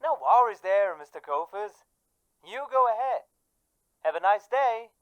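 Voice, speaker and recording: A woman playing an energetic, folksy male caller. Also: medium pitch, measured pace, muffled recording. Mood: chipper. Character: brash, energetic, folksy man phoning radio show. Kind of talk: radio drama acting.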